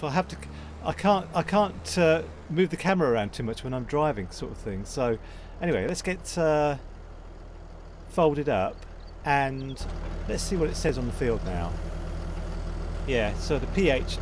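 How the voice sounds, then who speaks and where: A man with a croaky voice casually commentating a farming game, rambling and correcting himself as he plays. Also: on the high side, slight background noise. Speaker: gaming channel host.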